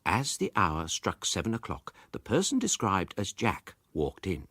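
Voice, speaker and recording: A man's voice: unhurried, slightly rough, no room sound, clean studio sound, exaggerated voice. An actor playing a pompous, self-satisfied amateur detective, narrating his report in a precise, theatrical manner. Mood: eager, officious. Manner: pompous, precise, highly expressive. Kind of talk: theatrical first-person story narration.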